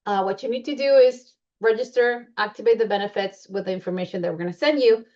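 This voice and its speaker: A woman's voice: medium pitch, fast talker, a little mellow. Woman, Colombian accent, chatty presenter, frequent fillers, slightly dull recording. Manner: chatty, many fillers and restarts. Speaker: membership presenter. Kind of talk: presentation walking through slides.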